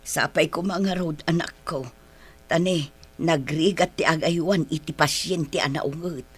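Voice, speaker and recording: An actress playing an ailing elderly Filipino mother and wife in a radio drama, sighing and gently chiding. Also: deep voice, unhurried, husky. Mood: weary, motherly.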